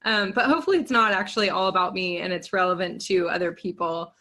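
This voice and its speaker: A woman's voice: on the low side, fast talker, bright, forceful tone. A woman in her thirties talking candidly as a guest on a podcast about her work as a yoga and business coach, chatty and self-deprecating. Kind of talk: podcast interview.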